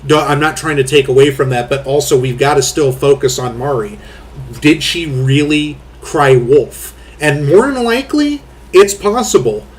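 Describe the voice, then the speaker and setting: A man hosting a casual commentary chat, rambling through fillers with blunt, crude talk and a booming voice. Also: animated, moderate pace, slight background noise. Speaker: host.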